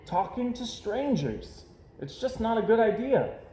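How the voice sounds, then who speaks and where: Man doing a careful, raised-pitch boy bear cub. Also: big pitch swings, measured pace, mellow, roomy sound, slight background noise. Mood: cautious. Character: cautious, sensible young boy bear cub.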